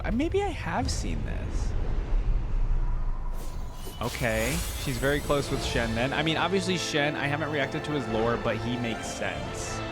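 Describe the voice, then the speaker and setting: A man doing casual reaction commentary and read-aloud of game lore, chatting informally with plenty of filler. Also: mid-range voice. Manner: informal, filler-heavy asides. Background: music.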